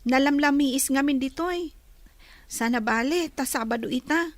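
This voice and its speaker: Woman acting a very high-voiced teenage girl. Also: moderate pace, no room sound, lightly acted. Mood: shy, casual. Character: hurt, dutiful teenage high school girl. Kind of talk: Filipino radio drama acting.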